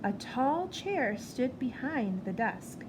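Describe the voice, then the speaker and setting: A woman reading a picture book aloud to children in an expressive storytelling style. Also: sits high, slow pace. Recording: clear background noise.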